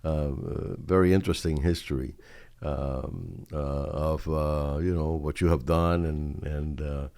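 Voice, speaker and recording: A man hosting a podcast, asking questions casually and feeling his way haltingly through his sentences. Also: rumbling low, steady tone, gravelly, a little mellow, dry recording. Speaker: podcast host.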